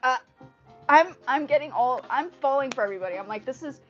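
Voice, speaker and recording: High-voiced woman livestreaming, native Indonesian speaker. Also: brisk, faint music behind. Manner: rambling, repeats phrases, animated asides. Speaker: livestream host. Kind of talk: casual livestream chat with viewers. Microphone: earpiece mic on a phone. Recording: dull lo-fi livestream audio through earpiece mic.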